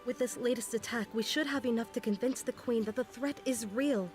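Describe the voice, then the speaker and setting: A woman as young fairy princess, high voice, solemn, declamatory, defiant, fantasy game dialogue, background music. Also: fairly quick, a little mellow, close to an everyday voice. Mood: earnest. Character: earnest young fairy warrior, the queen's defiant daughter.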